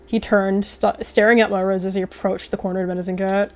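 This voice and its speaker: A woman's voice: medium pitch, flat delivery, brisk. A woman narrating a roleplay story dramatically as she plays. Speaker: roleplay narrator, video creator.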